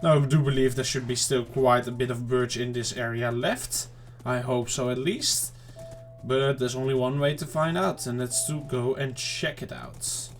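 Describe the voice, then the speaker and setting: A mid-pitched man chats loosely to his viewers while he plays, talking half to himself as he goes. His throat sounds a bit off and he keeps clearing it. Soft game music plays quietly behind him. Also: moderate pace. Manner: rambling, chatty self-talk to viewers. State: throat slightly off, clearing it often. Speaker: gaming channel host. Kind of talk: casual let's-play commentary.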